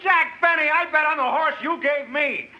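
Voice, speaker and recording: High, exasperated comic businessman. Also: moderate pace, bright, forceful tone, exaggerated voice. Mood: aghast. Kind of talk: 1950s radio comedy acting. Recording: muffled, very noisy old radio.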